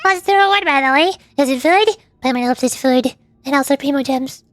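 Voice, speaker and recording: High-pitched, cutesy woman game streamer. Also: expressive, moderate pace, no echo. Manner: cutesy, playful, chatty. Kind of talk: playful game commentary and reading dialogue aloud.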